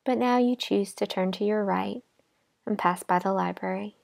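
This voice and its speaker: A woman leading a guided imagery relaxation exercise in a calm, gentle, unhurried manner with pauses. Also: mid-range voice, creaky. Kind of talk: guided imagery meditation narration.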